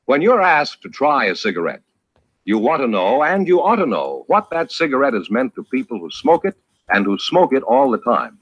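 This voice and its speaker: A man working as a radio announcer and pitchman, emphatic and deliberate in a 1950s announcing style. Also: big pitch swings, husky, no echo. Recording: dull old radio recording with music.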